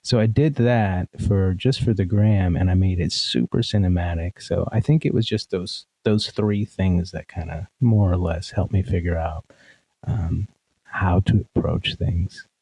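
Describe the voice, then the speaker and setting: A man guesting on a podcast, talking in a relaxed way about his work, with a sleepy, nasal voice and many fillers. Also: low voice, measured pace, gravelly, husky, no echo, rough recording. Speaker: guest, pedal demo creator. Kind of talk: casual interview.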